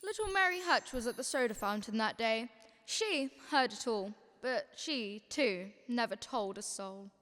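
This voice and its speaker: Storyteller played by a young woman: high voice, scripted radio drama narration, long echo tail. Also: animated, unhurried, fairly bright tone. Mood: storytelling. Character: storyteller narrating George's life.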